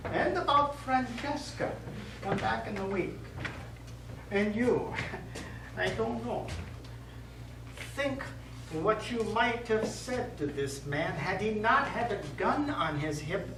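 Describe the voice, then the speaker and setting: Stage priest, male actor: gentle, pastoral, measured; animated intonation, heard from far off in an echoey room. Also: high-pitched, full character voice. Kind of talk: stage play acting. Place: theatre studio stage. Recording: echoey stage, distant mic, noisy.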